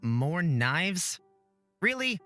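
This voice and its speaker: A man's voice: on the high side, big pitch swings, extremely slow, sharp tone, faint music behind, full character voice. An actor playing an earnest young male hero in a fantasy visual novel, questioning and easily exasperated.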